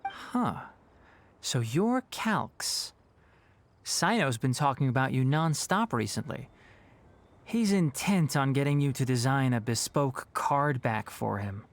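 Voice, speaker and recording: A man voicing a blunt, sharp-witted young companion in a video game, dry and teasing in manner. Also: medium pitch, expressive, slow, a little hiss or hum.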